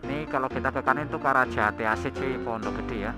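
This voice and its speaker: A young man, chatting casually as he rides a motorbike through city traffic, recorded on a helmet camera mic over loud background music. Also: medium pitch, quick pace. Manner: chatty slangy banter, frequent laughs. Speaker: motovlog host. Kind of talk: casual riding commentary. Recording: road wind noise with loud background music.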